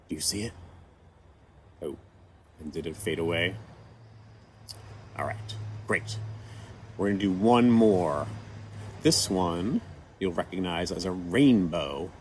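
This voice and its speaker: A man gently walking children through an activity with patient, step-by-step instructions and a few fillers. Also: low-pitched, slight background noise. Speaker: children's storytime presenter. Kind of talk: guiding kids through an activity.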